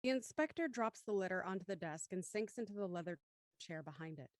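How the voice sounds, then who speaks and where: A woman's voice: lowish voice, animated, brisk, dry recording, clean studio sound, close to an everyday voice. A woman reading scripted narration for a radio murder mystery.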